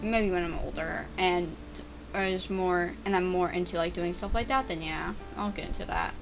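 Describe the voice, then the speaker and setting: A teenage girl chatting and stammering through gameplay commentary on her own channel, with a voice she calls a little deeper and rougher over time. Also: normal speed, over music, low-quality recording. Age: teens. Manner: chatty, stammering, restarts words. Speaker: gaming YouTuber.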